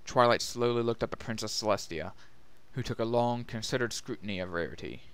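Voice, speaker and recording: A man's voice: medium pitch, fairly quick, a bit bright, smooth. A man narrating a scripted story as a dramatic reading. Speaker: narrator and reader.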